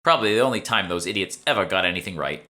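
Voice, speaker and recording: Guy doing a teen boston salesgirl for an audiobook, casual, explains stuff, bright voice. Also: deep voice, expressive, rapid-fire. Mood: chatty, informative. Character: teenage salesgirl, evasive then chatty, knowing alchemy shopkeeper. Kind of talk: audiobook character dialogue.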